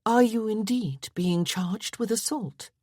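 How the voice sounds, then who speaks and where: A woman voicing an earnest, gentle young lady in a storybook reading, gently questioning. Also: mid-range voice, takes it slowly, slightly rough, no room sound, studio quality, pitched above the performer's own voice.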